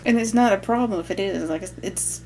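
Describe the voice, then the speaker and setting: A young adult woman vlogger in casual chat, speaking in a relaxed, reflective way with joking asides. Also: medium pitch, brisk, slight background noise, low-quality recording.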